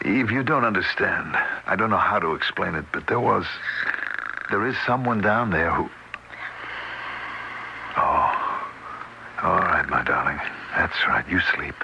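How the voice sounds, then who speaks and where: An actor playing a harried, incredulous but devoted husband in a radio drama, with emphatic delivery. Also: male voice, low voice, expressive, a little slow, gravelly, pauses often, heavily acted. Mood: tender, worried. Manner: exasperated, emphatic, swooping intonation. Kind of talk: old-time radio drama acting. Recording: radio drama with faint noise.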